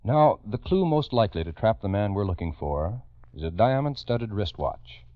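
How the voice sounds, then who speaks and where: A man's voice: on the low side, big pitch swings, normal speed, no room sound, lo-fi sound. A man narrating a 1940s radio crime program in a grave, emphatic, urgent manner on an old recording. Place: radio studio.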